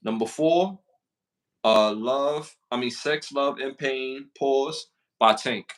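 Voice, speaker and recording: A man's voice: medium pitch, slow. A man with a Brooklyn accent co-hosting a casual podcast, slangy and repeating himself as he reads out list questions. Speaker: podcast co-host.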